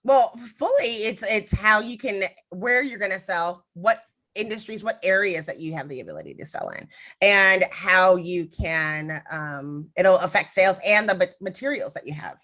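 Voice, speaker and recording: A woman's voice: mid-range voice, expressive, normal speed, sharp tone. A woman co-hosting a podcast, chatting casually and drifting into stories with frequent ums. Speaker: podcast co-host. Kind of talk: casual conversation.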